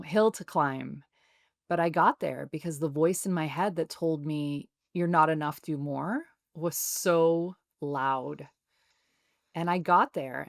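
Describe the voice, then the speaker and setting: A woman in her thirties, a guest author speaking in a calm, soothing, measured way in a reflective interview. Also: sits low, no room sound, clean studio sound.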